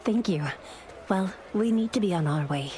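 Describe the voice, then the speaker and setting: A woman's voice: mid-range voice, expressive, moderate pace, warm tone, slight background noise, close to an everyday voice. An actress playing a courteous, friendly young female warrior who travels with the hero. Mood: polite. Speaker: hero's travelling companion. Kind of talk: game cutscene voice acting.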